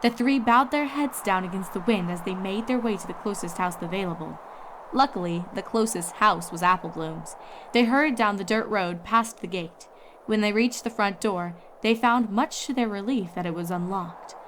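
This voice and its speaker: A woman's voice: medium pitch, normal speed, a bit bright, slight background noise. A woman reading a story as scripted narration.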